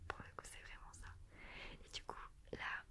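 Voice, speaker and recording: A woman in her twenties chatting casually in a breathy ASMR whisper, explaining things informally close to a microphone. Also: moderate pace. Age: 20s. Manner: explanatory, informal with streamer slang. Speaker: ASMR creator, guest. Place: small indoor studio with sofa. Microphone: shared desktop microphone up close.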